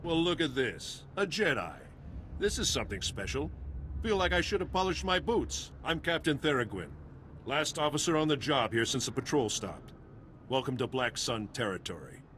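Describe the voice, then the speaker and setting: Wry captain, faint background noise. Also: male voice, mid-range voice, big pitch swings, normal speed, a bit bright. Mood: wry, jaded. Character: wry, jaded male police captain. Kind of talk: scripted video game dialogue.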